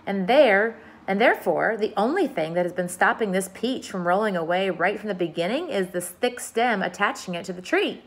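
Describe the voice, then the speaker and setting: A woman reading aloud as a kind, gentle female ladybug in a storybook. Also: mid-range voice, lively intonation, moderate pace, hardly any pauses, slightly rough.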